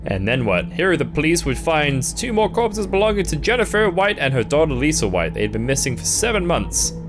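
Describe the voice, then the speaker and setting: A male streamer doing a live reaction, reading text aloud and adding sarcastic, deadpan asides. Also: fairly high pitch, a little fast. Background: music.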